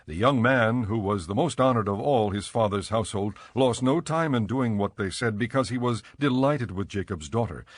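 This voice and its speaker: A man narrating a dramatized audio Bible in a measured storytelling manner. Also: lowish voice, expressive, no room sound.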